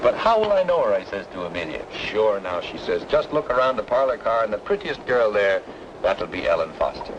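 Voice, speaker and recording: Playful teasing charmer, lively low voice. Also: male voice, brisk, muffled recording, noisy background. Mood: teasing storytelling. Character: charming smooth-talking man, teasing tea-leaf reader. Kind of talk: old radio noir drama acting. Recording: vintage radio drama, music behind.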